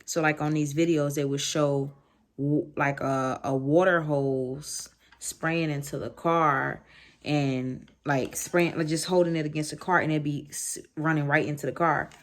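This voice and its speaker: An American woman telling a story in a casual, slangy, conversational way with animated asides, as a vlogger talking to camera. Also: low-pitched, unhurried.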